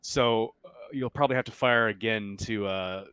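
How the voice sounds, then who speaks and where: A man's voice: on the low side, normal speed, a bit bright, clean tone, dry recording. A man narrating a tabletop roleplaying game as game master, in a casual, improvised way.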